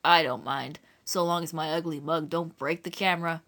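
Woman narrator playing a low-voiced, easygoing tradesman; common speech, quiet. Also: fairly even intonation, normal speed. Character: adult male stranger, a plain-spoken travelling photographer.

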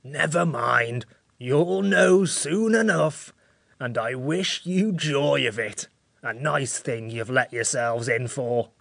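A woman's voice: very deep voice, slow pace, gravelly, dry recording, voice pushed down, heavily acted. A woman voicing a cross, sleepy sand fairy creature in a storybook reading, grumpy and disagreeable.

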